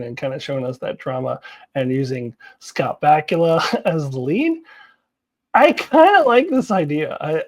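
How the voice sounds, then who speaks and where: A woman's voice: deep voice, a little mellow. Chatty woman giving casual video commentary. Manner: chatty, rambling, laughs as she talks. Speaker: YouTube host commenting on TV franchise news. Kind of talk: casual unscripted news commentary.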